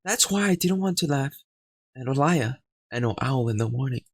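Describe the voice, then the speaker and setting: A man's voice: medium pitch, animated, moderate pace, faint music behind. A young man playing a horror visual novel, reading the narration aloud with suspenseful emphasis. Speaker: gaming video creator.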